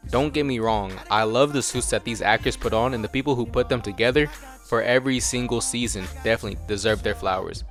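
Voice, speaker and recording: Young man, American accent, middle pitch, slangy video essay host, jokey, casual commentary, background music. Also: a bit bright.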